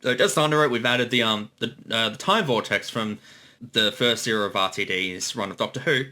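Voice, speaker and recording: A man's voice: on the low side, a little fast, sharp tone. A man giving casual behind-the-scenes creator commentary, eagerly stammering and repeating words as he explains.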